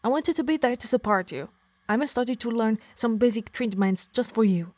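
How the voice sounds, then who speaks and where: A woman performs an obsessive harpy woman for an ASMR roleplay, speaking very close and dry with a light Italian accent. Her breathy voice drifts into whispers and slow, menacing emphasis, tender and possessive at once. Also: on the high side, lively intonation, a little mellow, no echo. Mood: tender, lovestruck. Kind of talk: intimate ASMR roleplay acting.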